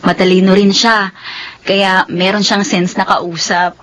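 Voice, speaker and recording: A woman playing a gentle young city woman who longs for a simple life, in a Filipino radio drama. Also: medium pitch, little pitch movement, a little slow, close to an everyday voice. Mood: earnest.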